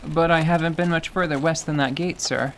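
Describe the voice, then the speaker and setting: A man's voice: on the high side, steady tone, a little fast, a little hiss or hum, lightly acted. A man voicing a polite, nervous young wagon driver in a fantasy audio drama, hesitant and deferential. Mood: uneasy.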